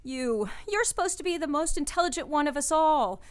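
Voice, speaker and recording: An actress playing a high-strung, defiant young working woman in a radio ghost play, agitated and emphatic. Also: animated, brisk. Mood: frightened, defiant.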